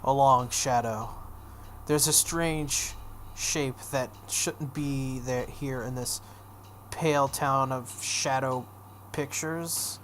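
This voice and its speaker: A woman's voice: rumbling low, steady tone, takes it slowly. A woman hosting a video game playthrough, reading a visual novel aloud expressively with offhand casual asides. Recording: some background noise.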